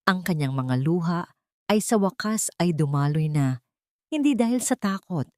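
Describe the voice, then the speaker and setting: A woman narrating a scripted dramatic story in a measured storytelling manner. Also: sits low, darkish tone, no echo, clean studio sound.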